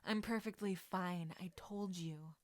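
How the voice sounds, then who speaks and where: A woman's voice: fairly low pitch, unhurried, dry recording, natural voice. A woman voicing a cocky, sardonic teenage wizard boy in a story, grumbling as he brushes off concern. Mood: exasperated. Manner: sarcastic, grumbling.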